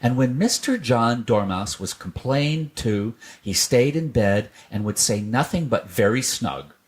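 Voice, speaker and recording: A man's voice: medium pitch, animated, measured pace. A man narrating a children's story as a scripted storyteller.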